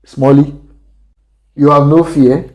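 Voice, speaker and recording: A man's voice: fairly high pitch, flat delivery, takes it slowly, darkish tone, low-quality recording. A man voicing a massive, menacing, scarred gangster in a storybook tale, speaking in terse commands.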